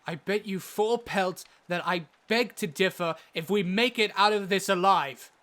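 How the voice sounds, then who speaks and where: A man playing a learned, easily exasperated doctor, narrating a fantasy audio drama in a measured, earnest way. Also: sits high, a bit bright. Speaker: narrator and lead character.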